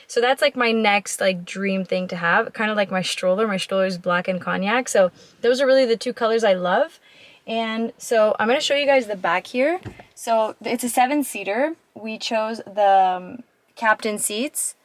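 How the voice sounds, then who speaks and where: A woman's voice: medium pitch, normal speed, no echo. Chatty young woman with a scratchy voice. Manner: chatty, frequent ums and likes. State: scratchy voice from a cold. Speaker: vlogger. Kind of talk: casual car tour vlog. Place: inside a parked SUV and outdoors on a driveway. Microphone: handheld camera at arm's length.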